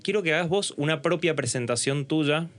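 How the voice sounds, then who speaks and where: A man's voice: fairly high pitch, fairly quick, fairly bright tone. A man with an Argentine Spanish accent, hosting and interviewing in a casual podcast conversation. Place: home studio.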